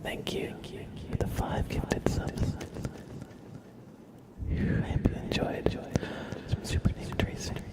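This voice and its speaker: A man hosting an ASMR livestream, speaking in a hushed, breathy whisper as he chats with viewers. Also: slow, pauses often. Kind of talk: ASMR, live chat with viewers.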